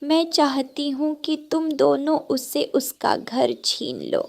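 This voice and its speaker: A woman's voice: high voice, slow, creaky, full character voice. A woman voicing a spiteful, scheming old mother-in-law bird in a cartoon, nagging and scornful. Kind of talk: Hindi cartoon voice acting.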